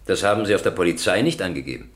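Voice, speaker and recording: An actor playing a stern, impatient presiding judge in a radio crime drama, questioning formally and insistently. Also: male voice, deep voice, lively intonation, racing. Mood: sceptical, impatient.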